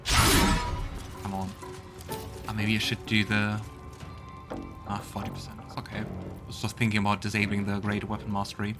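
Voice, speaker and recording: A man's voice: lowish voice, monotone, moderate pace, long pauses. A man hosting a let's play, giving casual gameplay commentary as he thinks aloud. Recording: loud game music behind voice. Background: loud video game music.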